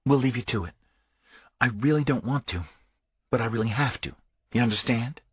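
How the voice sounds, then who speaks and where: A male narrator plays a worried woman agent in a thriller audiobook. Her voice sits higher and lighter, hushed and urgent, with a slightly husky edge and a dry, close sound. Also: normal speed, no room sound. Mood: reluctant, concerned. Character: Duffy, earnest, worried female agent on a mission. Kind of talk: audiobook character dialogue.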